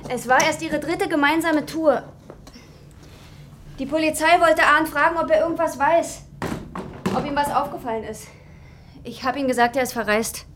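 A woman's voice: high-pitched, moderate pace, pauses often, a bit bright, a little hiss or hum. An actress playing a young mother, polite and resolute under worry, in a naturalistic radio drama. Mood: worried. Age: young adult.

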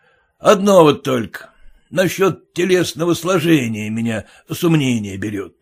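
A man's voice: on the high side, measured pace, breathy edge. A man voicing a stingy, domineering merchant who speaks bluntly and scolds his servants. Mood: gruff bossy. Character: gruff stingy old merchant, bossy.

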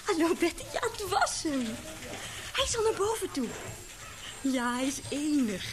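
Casting: actress as gossipy female receptionist, radio drama, high bright-mannered chatter, clearly noisy recording. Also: sing-song, normal speed. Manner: courteous, chatty. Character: chirpy, gossipy young female newspaper receptionist. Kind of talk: Dutch radio play acting.

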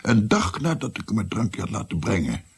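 An actor playing a grave apothecary doctor who recounts his past in a measured, gravelly voice in a radio drama. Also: on the low side, very animated, heavily acted. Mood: grave, reflective.

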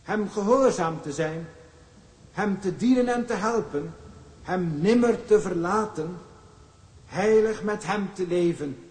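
An actor playing a solemn, formal minister reciting wedding vows in ceremonial style, in a drama. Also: male voice, sits high, slow, darkish tone. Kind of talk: ceremonial declamation. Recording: echoey hall with long reverb.

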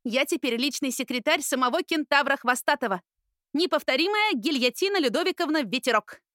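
A woman voicing a caring, cheeky older sister in an audiobook, with a playful, animated manner. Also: sits high, fairly quick, no room sound, studio quality, voice pushed up. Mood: gleeful. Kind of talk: audiobook character acting.

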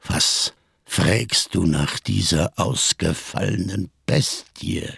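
A deep, gravelly giant of a man, a tattered survivor living in darkness. He declaims slowly with solemn, bitter irony, in the manner of a radio crime play. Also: fairly bright tone, dry recording, clean studio sound, full character voice. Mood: grim, ironic. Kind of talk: German radio crime drama acting.

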